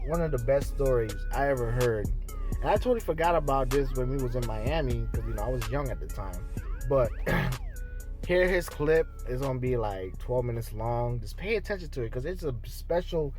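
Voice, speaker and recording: A man's voice: medium pitch, expressive, moderate pace. A young American man talking casually as a YouTube vlogger, in a slangy, hyped-up way. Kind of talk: casual video intro, storytelling. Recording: music playing behind voice. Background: music.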